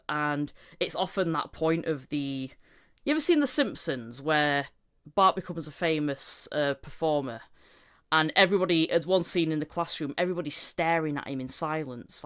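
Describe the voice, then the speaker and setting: A woman's voice: low voice, moderate pace, clean tone. A woman hosting a podcast in casual chat, giggly and self-deprecating as she shares anecdotes. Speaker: podcast host and voice actor.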